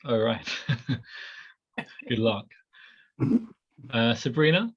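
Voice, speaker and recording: A man leading an informal academic discussion on a video call, halting and full of fillers and restarts. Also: mid-range voice, animated, breathy edge, lo-fi sound. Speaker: discussion leader, head of department. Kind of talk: hesitant informal academic chat.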